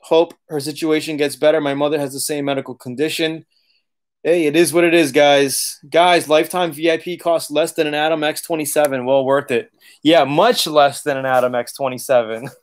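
Late-30s male host, loose and chatty, laughs easily, a touch high-pitched; home webcam livestream. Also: fairly even intonation, fairly quick. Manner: loose, chatty, laughs often, swears casually. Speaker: auto body channel host. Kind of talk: casual livestream Q&A reading chat. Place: living room, seated on leather couch.

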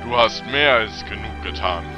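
A man's voice: lowish voice, normal speed, highs cut off, music in the background, close to an everyday voice. A man playing a resolute, commanding male warrior adventurer in an audio drama, speaking decisively.

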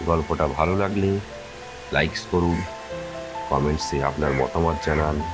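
A man telling a scripted horror story as a dramatic narrator, with measured, suspenseful delivery. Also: very deep voice. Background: music.

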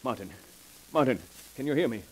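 A man's voice: medium pitch, expressive, brisk, darkish tone, a little hiss or hum, natural voice, low-quality recording. An actor playing a cynical, condescending rich businessman in a sardonic, commanding 1940s radio melodrama style. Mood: grave, urgent.

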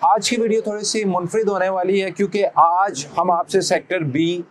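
A man's voice: high voice, steady tone, normal speed, over loud music. A man in his thirties with a Pakistani accent presents a promotional real estate video as a consultant, explaining things like a salesman into a lapel mic.

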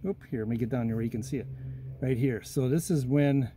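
A man giving a casual, unhurried walkaround of an old car as he films it with a handheld phone, pausing often with ums and uhs. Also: mid-range voice, noisy background. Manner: unhurried, plain-spoken, many ums and uhs, trails off quietly. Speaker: vintage car restoration vlogger. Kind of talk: casual walkaround narration behind a handheld camera. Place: old car in a garage and driveway. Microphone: phone camera held in hand.

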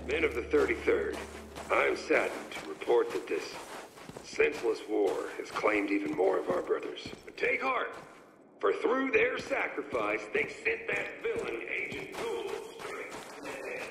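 Casting: pompous wartime announcer, gravelly, oratorical, heavy echoing reverb. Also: male voice, medium pitch, big pitch swings, unhurried. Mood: grandiose. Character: theatrical male propaganda announcer, mocking and grandiose. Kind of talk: dramatic broadcast speech in video game. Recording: echoing loudspeaker, long reverb, noise.

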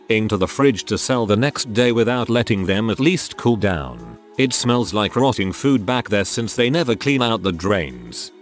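A man narrating forum stories in a scripted reading style. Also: on the low side. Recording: narration over faint background music.

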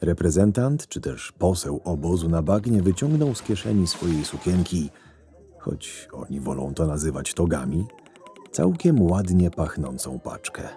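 A man playing a shrewd, sardonic right-hand man to a camp boss, narrating a fantasy audio drama in a measured way. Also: deep voice, big pitch swings, mellow, exaggerated voice. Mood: sardonic, reflective. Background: music.

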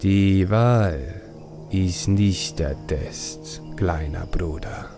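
A man voicing an ancient, deep, gravelly spirit. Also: over music, heavily acted. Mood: solemn, testing. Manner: slow, weighty delivery. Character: ancient, mighty male spirit, solemn and testing.

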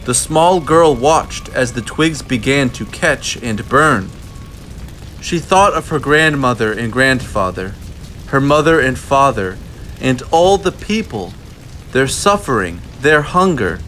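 A man reading a children's picture book aloud as a narrator, in measured phrases with deliberate pauses. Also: a little high, animated, fairly bright tone, a little hiss or hum. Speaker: storybook narrator.

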